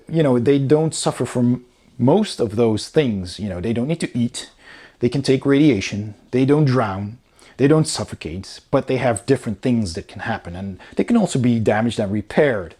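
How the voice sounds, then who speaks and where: A man's voice: medium pitch, warm tone, slightly rough. A man reviewing a game rulebook in a casual, explanatory way, talking informally as he goes through it.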